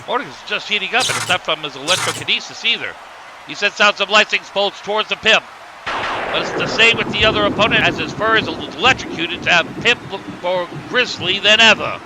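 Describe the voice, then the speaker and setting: Man playing a high-voiced excitable wrestling announcer. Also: fairly quick, background noise, close to an everyday voice. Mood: lively narration. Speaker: wrestling commentator. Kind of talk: excited play-by-play commentary in fictional match. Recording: music behind the voice.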